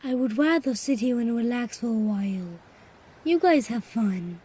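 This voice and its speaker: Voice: mid-range voice, expressive, normal speed, warm tone, slightly rough. A performer voicing a bookish, earnest science-loving animal puppet in a children's show, with a nerdy manner.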